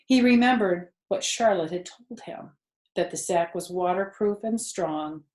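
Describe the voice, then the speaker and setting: A woman reading a children's story aloud expressively, pausing to explain things to young listeners. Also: fairly low pitch, darkish tone. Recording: roomy indoor echo.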